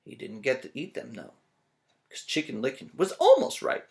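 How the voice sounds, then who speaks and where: Very animated man reading to children. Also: mid-range voice, normal speed, creaky. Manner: playful, asks listeners questions. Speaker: teacher. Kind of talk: animated children's story read-aloud.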